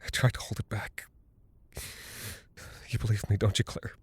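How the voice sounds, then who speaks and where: A man's voice: lowish voice, level tone, moderate pace, husky, no echo, in a whisper. A desperate space pilot and father coming apart with guilt. He rambles and stammers through a haunted horror monologue, recorded close and dry. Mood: drained, confessional. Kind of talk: dramatic monologue in horror audio fiction.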